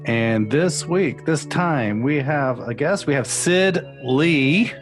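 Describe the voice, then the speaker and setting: A man's voice: on the high side, animated, takes it slowly. A man hosting a podcast, opening the show with an easygoing, joking introduction. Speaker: podcast host. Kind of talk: casual chat. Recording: music playing clearly behind.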